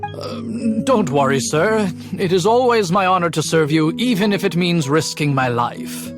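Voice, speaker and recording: An actor playing a nervous, devoted master brewer in a video game, flustered and deferential, with music behind him. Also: male voice, a little high, animated, normal speed, close to an everyday voice. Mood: flustered, awestruck. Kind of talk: video game character acting.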